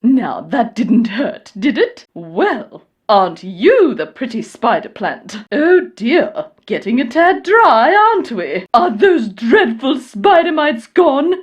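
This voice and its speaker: A doting older eccentric for a video game. The voice is high and very lively, cooing in sing-song baby talk. The recording is slightly dull. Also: female voice, slow pace. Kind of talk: game character dialogue.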